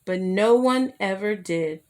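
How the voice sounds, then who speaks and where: A woman narrates a children's story as a read-aloud storyteller. Her voice sits at a medium pitch, and her delivery is expressive, speaking directly to young listeners. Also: takes it slowly.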